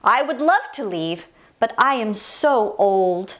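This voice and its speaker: A woman's voice: mid-range voice, lively intonation, slow. A woman voicing an old, weary but commanding male library book in a storybook, in an authoritative manner. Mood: commanding, regretful.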